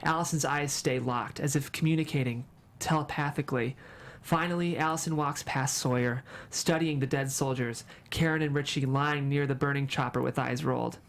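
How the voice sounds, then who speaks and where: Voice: medium pitch, fairly even intonation, moderate pace. A narrator reading screenplay stage directions at a table read in a dramatic storytelling manner.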